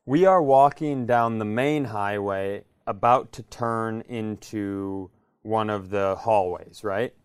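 Clear-voiced, close-up American man telling stories. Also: fairly low pitch, slow pace, dry recording. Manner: emphatic, repeats phrases, acts out quotes. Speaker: podcast co-host. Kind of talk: animated comedic storytelling in casual chat.

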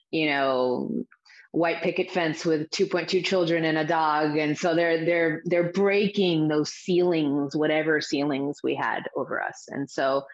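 A middle-aged woman from the Azores talking as an interview guest, reflective and halting with many restarts. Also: sits low. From Azores, Portugal. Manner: reflective, with frequent restarts and fillers. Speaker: guest, spiritual teacher and coach. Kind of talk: interview answers. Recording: dull lo-fi sound.

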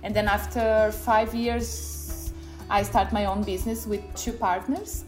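A woman in her thirties speaks English with a Brazilian accent and a medium pitch. She talks casually about herself, as in a personal interview. Music plays behind her voice. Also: level tone, unhurried. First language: Portuguese. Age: 30s. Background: background music.

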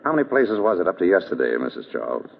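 An actor playing a suave, witty, easygoing married detective with a dry urbane manner in an old radio drama. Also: male voice, deep voice, wildly swooping pitch, fast talker, gravelly, husky, full character voice. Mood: dryly needling. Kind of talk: 1930s-40s radio drama acting. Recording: vintage radio, narrow tinny band.

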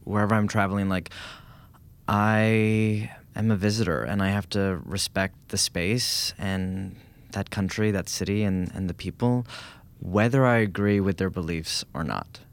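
A man with a deep, low voice talking on a podcast. He stops and restarts his sentences often and fills the gaps with "uh" and "like", but keeps an earnest tone. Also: steady tone. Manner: halting, many restarts and fillers, earnest. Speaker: podcast guest. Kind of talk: casual podcast chat.

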